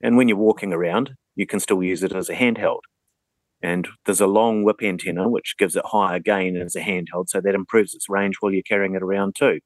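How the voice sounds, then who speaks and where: A man's voice: low-pitched, fairly even intonation, a little fast, no room sound. A man with a New Zealand accent, an interview guest explaining things in a practical, methodical, conversational way. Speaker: interview guest, radio communications advocate.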